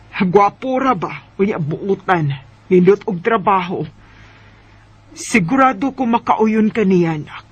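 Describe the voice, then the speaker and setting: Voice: mid-range voice, breathy edge, dry recording, dull audio. A performer playing a nagging, matchmaking middle-aged mother in a Filipino radio drama, scolding and coaxing with exclamations.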